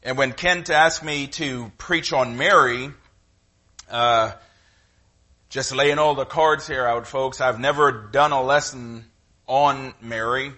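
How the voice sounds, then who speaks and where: A middle-aged man with a Trinidadian accent, preaching a sermon in a conversational, halting way with frequent fillers. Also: mid-range voice, fairly even intonation, pushed and bright. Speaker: guest preacher.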